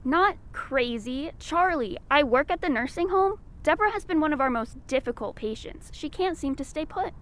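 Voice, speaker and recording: A woman's voice: high-pitched, expressive, normal speed, few pauses, a bit bright. A woman playing a quirky, unflappable nursing home worker, breezy and matter-of-fact, in an audio drama.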